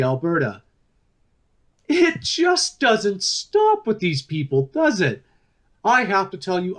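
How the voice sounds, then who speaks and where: A man playing an upbeat, chatty news talk show host in a radio play, with an emphatic delivery. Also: sits high.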